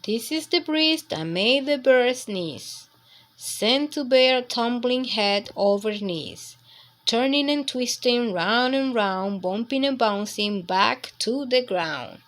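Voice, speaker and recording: A woman's voice: a little high, lively intonation, slow pace, a bit bright. A woman reading a picture book aloud as a storytime narrator for young children.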